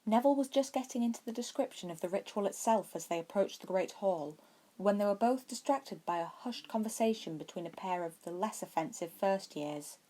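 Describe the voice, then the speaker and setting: A woman's voice: mid-range voice, animated, fairly quick, mellow, rough recording. A woman reading a story aloud as scripted narration. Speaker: fan fiction podfic reader.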